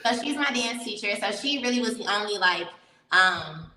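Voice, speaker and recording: A young woman from Miami talks as an interview guest, frank and chatty, telling her story with easy laughs. Her voice is bright and forward. She sits back from the mic in an echoey room. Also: medium pitch, lively intonation, normal speed, distant mic. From Miami, Florida. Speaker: guest, professional dancer. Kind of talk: casual interview chat.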